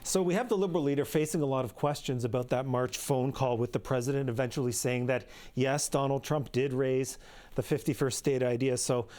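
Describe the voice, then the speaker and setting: A man hosts a political news panel in a mid-pitched voice. He introduces and questions guests in a structured broadcast manner. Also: fairly even intonation, brisk, a little mellow. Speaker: news host and panel moderator.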